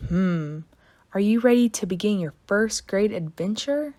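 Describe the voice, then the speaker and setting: Woman playing a kindly, slow-talking storybook dad. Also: medium pitch, lively intonation, close to an everyday voice. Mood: reassuring. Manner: gentle, encouraging. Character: kindly, reassuring father of a young girl. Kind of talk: children's storybook read-aloud.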